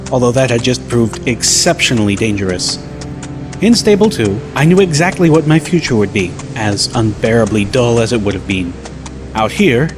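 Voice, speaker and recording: Male audiobook narrator over music. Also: medium pitch. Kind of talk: scripted first-person story narration. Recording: loud music bed under narration.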